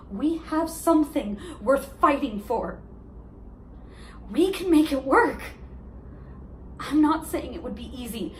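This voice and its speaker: Heartbroken teenage girl, acted by a woman, high-voiced. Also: echoey room, a little hiss or hum, full character voice. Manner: emotional, insistent, deliberate dramatic pauses. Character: heartbroken, pleading high school girl confronting ex-boyfriend. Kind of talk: amateur theater monologue.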